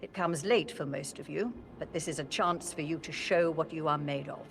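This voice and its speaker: Cold bossy matriarch, lowish voice, formal. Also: female voice, normal speed, creaky, background noise. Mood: stern. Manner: stern, formal, imperious. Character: cold commanding elderly matriarch. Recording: game audio with music behind.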